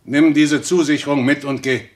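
An actor in a German radio play, playing a stern religious reformer who admonishes in weighty, preacherly speech. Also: male voice, fairly high pitch, steady tone, moderate pace, close to an everyday voice. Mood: measured admonishing. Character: stern, reproving Protestant reformer and theologian.

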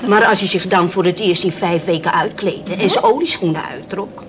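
A woman's voice: lowish voice, moderate pace, natural voice. An actress as a blunt, chatty seaman's widow, noisy recording. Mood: amused. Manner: talkative, outspoken, wry. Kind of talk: radio drama acting. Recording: noisy old radio play recording.

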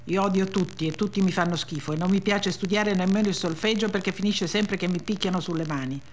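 An actress reading a memoir as a blunt, resentful female narrator, with deadpan, childlike run-on phrasing. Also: on the low side, a little hiss or hum, close to an everyday voice. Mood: sullen, defiant. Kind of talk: dramatic reading of a first-person memoir.

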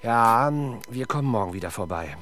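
An exasperated police detective in a seventies German radio crime play. His fairly low voice swings widely in pitch with dry sarcasm, over faint background noise. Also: animated, moderate pace, sharp tone. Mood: pressing, insistent. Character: sardonic, exasperated police detective leading a murder case. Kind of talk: 1970s German radio crime drama acting.

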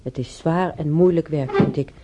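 An actress playing a weary, timid elderly former housemaid in a radio play, with a breathy, husky voice and halting, sighing delivery. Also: female voice, low-pitched, heavy background noise, close to an everyday voice. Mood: mildly insistent. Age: older. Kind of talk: naturalistic radio drama monologue and dialogue. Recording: dull, muffled radio play recording.

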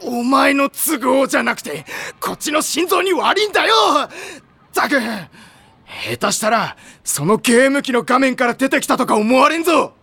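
Loud high school boy, very high pitch, sharp forceful tone, animated, brash, easily spooked, anime drama. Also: normal speed, full character voice. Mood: exasperated shouting. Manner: brash, blustering, rough junior slang. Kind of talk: anime audio drama acting.

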